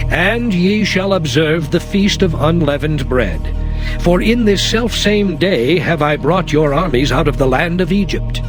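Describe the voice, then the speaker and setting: A man voicing God in a dramatized scripture reading, proclaiming laws in solemn, measured phrases over music. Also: fairly high pitch. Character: the Lord, commanding divine voice giving laws. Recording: music under the voice.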